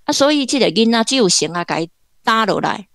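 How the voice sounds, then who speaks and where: A woman's voice: fairly high pitch, sing-song, fast, a bit bright, no echo, clean studio sound. A woman with a Taiwanese accent giving conversational literary commentary in an explanatory way, like a guest academic.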